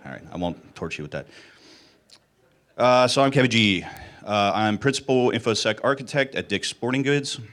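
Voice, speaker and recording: A middle-aged man with a slightly hoarse voice, giving an informal technical conference talk in a casual, self-deprecating way. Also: lowish voice, expressive, moderate pace, fairly bright tone. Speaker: conference speaker, security architect.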